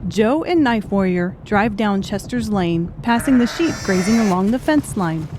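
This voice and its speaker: A woman's voice: medium pitch, expressive, normal speed, noisy background. A woman reading scripted third-person narration for an audiobook story.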